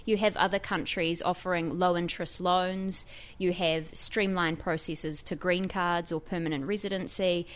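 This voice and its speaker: A woman with a New Zealand accent, a tech reporter explaining her story as a guest in a radio interview, fluent and lively. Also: lowish voice, moderate pace.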